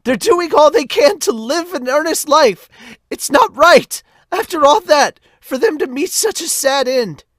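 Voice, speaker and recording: A man's voice: very high-pitched, sing-song, unhurried, fairly bright tone, no room sound, clean studio sound, voice pushed up, heavily acted. A man voicing an earnest, anguished young villager who pleads for the innocent in an impassioned amateur manga dub. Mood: anguished pleading.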